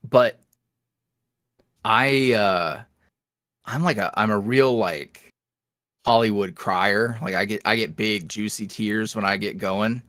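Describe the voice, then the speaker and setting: A man talking casually as a podcast guest, in a rambling, animated, self-deprecating way. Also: on the low side, slow pace, long pauses, dry recording, studio quality. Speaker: podcast guest, ASMR roleplay voice creator. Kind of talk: casual interview chat.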